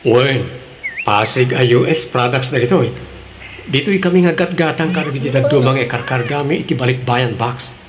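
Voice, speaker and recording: A man's voice: mid-range voice, normal speed, lightly acted. An actor with an Ilocano accent playing a friendly, helpful male coworker in a radio drama. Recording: noisy radio drama recording.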